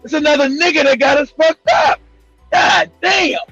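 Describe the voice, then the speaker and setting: A man hosting a casual talk show, speaking in a profane, excitable way and laughing often. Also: extremely high pitch, moderate pace, gravelly, dry recording, raised voice, highs cut off, soft background music. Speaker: host.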